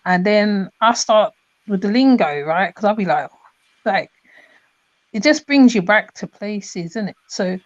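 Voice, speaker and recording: A woman's voice: medium pitch, animated, measured pace, dry recording. A woman from Trinidad, chatting casually and rambling with many restarts and fillers. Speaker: host. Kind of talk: casual chat. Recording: dull lo-fi sound.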